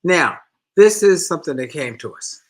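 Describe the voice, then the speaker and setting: A middle-aged man co-hosting a marriage advice show, retelling a listener's letter in an animated way and often repeating himself. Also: fairly high pitch, moderate pace. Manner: animated storytelling, repeats phrases.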